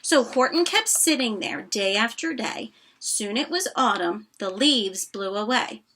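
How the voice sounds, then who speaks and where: A woman narrates a children's storybook in a high, bright voice. Her delivery is very animated and expressive, with dramatic emphasis and softer asides to the listeners. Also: a little slow.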